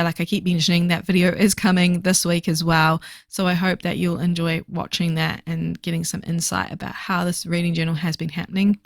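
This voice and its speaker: A woman recording a casual voiceover for her reading journal video, chatty and fast-talking with lots of fillers, her voice slightly hoarse. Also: on the low side, no room sound, studio quality. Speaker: book vlogger.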